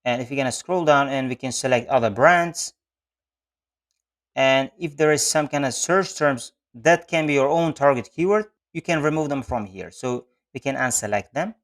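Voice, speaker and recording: A man presenting an online software tutorial, explaining each step methodically and repeating key points. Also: mid-range voice, moderate pace. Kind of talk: step-by-step screen-share tutorial narration. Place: voice-over on a screen recording.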